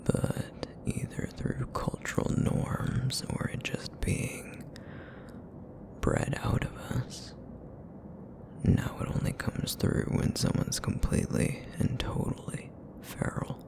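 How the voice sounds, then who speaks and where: Male actor as broody male essence eater, ASMR roleplay, whispered, slow, rumbling rasp, guarded, weary. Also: natural voice. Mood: brooding. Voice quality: raspy, rumbling, breathy low murmur. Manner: brooding, reluctant, slow and terse.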